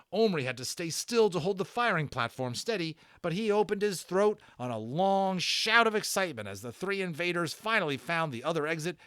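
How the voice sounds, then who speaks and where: A man's voice: a little high, bright, forceful tone, dry recording, clean studio sound. A man giving a dramatic, suspenseful storybook narration in a theatrical manner.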